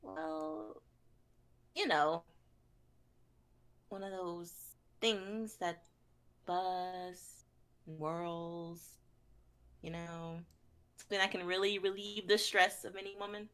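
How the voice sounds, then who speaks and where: A woman playing a coy, dreamy lady who makes her wishes in a hesitant, drawn-out way for a comedy reading. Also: lowish voice, vocal fry, a bit bright, smooth, heavily acted. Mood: coy, suggestive.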